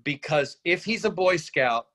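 A man with a fairly high voice talks through his critique in a group workshop. He is analytical and deliberate, building his points as he speaks. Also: lively intonation, fairly bright tone. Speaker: readers theatre workshop participant. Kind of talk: giving script feedback in a group discussion.

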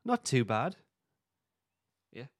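A man's voice: medium pitch, sing-song, slow, no room sound, clean studio sound. A man co-hosting a podcast in loose, informal conversation. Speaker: podcast co-host. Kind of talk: casual chat.

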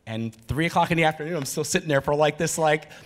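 A man moderating a panel before a live audience, telling lively, chatty anecdotes. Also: fairly high pitch, quick pace. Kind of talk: casual panel talk.

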